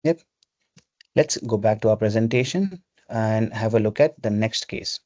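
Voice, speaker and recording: A man presenting a webinar, explaining software step by step in an instructional way over a video call. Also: lowish voice, moderate pace, dry recording. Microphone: video call microphone. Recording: dull, lo-fi video call audio.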